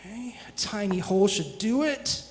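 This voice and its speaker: A nervous young spaceman acted by a man in a live radio drama, apologetic yet resourceful. His delivery is hesitant and swings widely in pitch. The hall gives long reverb, with faint noise underneath. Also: lively intonation, moderate pace. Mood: urgent, anxious. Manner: hesitant, stammering. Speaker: radio theatre actor.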